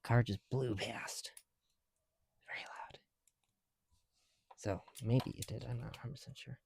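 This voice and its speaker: A tired man speaking softly and casually in an ASMR style, musing to himself as he works. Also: medium pitch, fairly even intonation, measured pace, breathy edge. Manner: hushed, musing to self while counting pieces. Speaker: ASMR video creator. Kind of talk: soft-spoken ASMR, casual chat while building.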